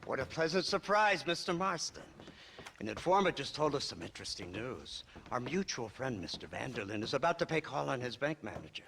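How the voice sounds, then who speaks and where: A man's voice: mid-range voice, very animated, a little fast, creaky, a bit bright, a little hiss or hum. A man playing a smug, condescending government agent of the old West in a video game cutscene. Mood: smugly pleased. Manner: sardonic, condescending.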